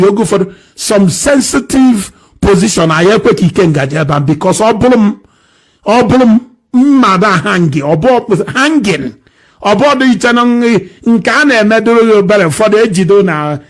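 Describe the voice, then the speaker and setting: A man in a played clip, rambling as he switches between Igbo and English. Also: high-pitched.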